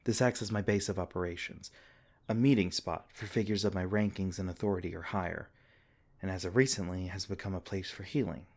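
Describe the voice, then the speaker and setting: A man playing a deep, gentle fae king. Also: fairly even intonation, brisk. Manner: soft-spoken, reassuring, with small laughs. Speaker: ASMR roleplay performer. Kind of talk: ASMR comfort roleplay.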